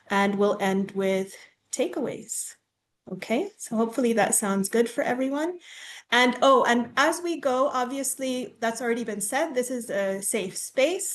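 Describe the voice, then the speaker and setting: A woman's voice: on the high side, slight background noise. A woman from Tanzania leading an online workshop, talking through the plan in a friendly, organized way with frequent "um" and "okay". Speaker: workshop facilitator, university instructor. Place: home or office, on a video call. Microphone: computer mic on a video call.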